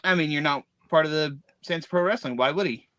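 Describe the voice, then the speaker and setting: A man's voice: a little high, brisk, a bit bright, no room sound. A man hosting a wrestling podcast, chatting in a wry, matter-of-fact way. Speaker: podcast host and ring announcer. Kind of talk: casual podcast chat.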